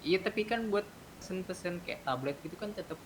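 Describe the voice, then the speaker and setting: A man's voice: lively intonation, fairly quick. A man with an Indonesian accent in casual, slangy banter with fellow gamers over voice chat. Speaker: gaming group member in an online roleplay session. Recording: noisy voice chat, rumble and keyboard typing.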